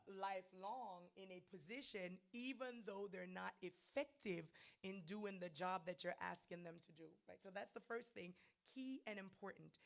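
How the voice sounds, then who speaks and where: An American woman leads a church leadership session in an emphatic, conversational way. She drives her points home and checks in with her listeners as she goes. Her voice sits at a middle pitch and comes through a microphone in a church hall. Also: creaky. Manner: emphatic, conversational, says "right?" often. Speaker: guest speaker at a ministers' training.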